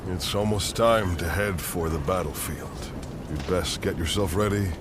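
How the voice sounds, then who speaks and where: A man playing a gruff, steadfast veteran general in a video game, speaking curtly and plainly. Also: deep voice, measured pace, background noise. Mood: matter-of-fact. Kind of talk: video game cutscene acting.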